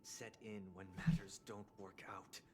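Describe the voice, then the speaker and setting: An actor playing a refined, solemn young idealist haunted by suffering, speaking in formal, deliberate oratory for a video game. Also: male voice, fairly low pitch, music in the background, lightly acted. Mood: anguished, fervent. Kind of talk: dramatic video game voice acting.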